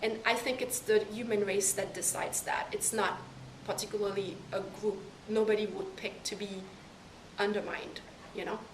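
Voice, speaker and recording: Young woman, thoughtful pauses, far from the mic, echoey room. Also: mid-range voice, fairly even intonation, slight background noise. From Caribbean. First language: French. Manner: thoughtful, pausing between phrases. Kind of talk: interview answers.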